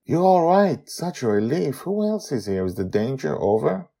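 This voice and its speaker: A man's voice: mid-range voice, sing-song, moderate pace, vocal fry, warm tone, slightly rough, pitched above the performer's own voice, exaggerated voice. A man voicing a blind young mother, loving and anxious, asking eager questions in a raised put-on voice. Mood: relieved.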